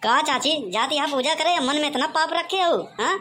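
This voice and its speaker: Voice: sits high, fairly quick, sharp tone, slight background noise. A performer voicing a cheeky, insolent village troublemaker in a comedy cartoon. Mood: cheeky, teasing. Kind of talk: animated comedy cartoon dialogue.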